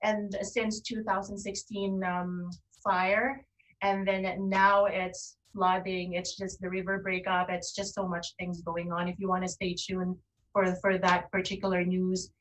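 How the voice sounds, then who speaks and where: A woman's voice: lowish voice, level tone, unhurried, fairly bright tone. A woman co-hosting a casual online talk show, speaking from indoors over a video call. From lives in Canada. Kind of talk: casual chat. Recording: distant mic on internet video call.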